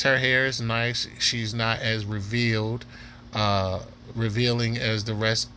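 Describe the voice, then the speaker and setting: A man's voice: lowish voice, bright, forceful tone. A man commentating casually off the cuff as a reaction host, repeating himself as he urges viewers to watch. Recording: faint background noise.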